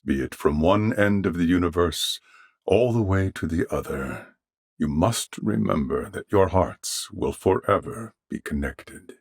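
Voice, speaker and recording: Slow, courtly, honorable swordsman. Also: low-pitched, creaky, slightly rough, dry recording, studio quality, exaggerated voice. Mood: polite, thoughtful. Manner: formal, archaic, measured diction. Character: courteous, honorable swordsman, calm, earnest, formal. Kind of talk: scripted video game character dialogue.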